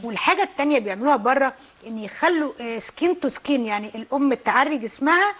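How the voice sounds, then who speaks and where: A woman's voice: sits high, animated, racing, fairly bright tone. A woman with an Egyptian Arabic accent, a nutritionist guest giving diet advice on a TV cooking show in a studio kitchen. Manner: explanatory, advising.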